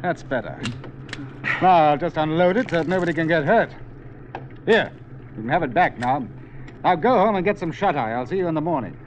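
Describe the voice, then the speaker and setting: An actor playing a kind-hearted, reasonable film-maker in a 1950s radio drama. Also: male voice, a little high, lively intonation, fairly quick, pauses often, lightly acted. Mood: firm, calming. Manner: reasonable, placating. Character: kind-hearted, composed male film-maker, loyal and reassuring. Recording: muffled, noisy 1950s radio recording.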